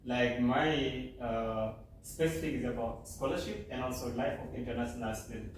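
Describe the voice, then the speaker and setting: A man's voice: medium pitch, normal speed, a little hiss or hum. A young man from Guinea hosting a casual interview with friendly, chatty talk and many fillers. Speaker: host. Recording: very echoey room, distant mic.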